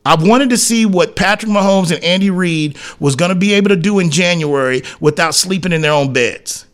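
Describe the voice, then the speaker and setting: A man's voice: high-pitched, fairly quick. A man hosting sports talk, giving an emphatic, blunt, opinionated monologue in colloquial, slangy speech and repeating phrases to hammer them home. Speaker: sports talk show host.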